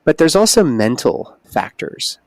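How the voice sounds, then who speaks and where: A man's voice: medium pitch, measured pace, dry recording. A man speaking as a holistic OB-GYN guest on a podcast, explaining earnestly in casual conversation. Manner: earnest, explanatory, animated emphasis.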